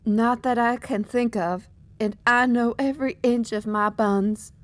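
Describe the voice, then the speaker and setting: A woman's voice: on the high side, steady tone, measured pace, no room sound, lightly acted. A woman voicing a plain-spoken, accommodating adult mare in an audio drama. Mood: matter-of-fact.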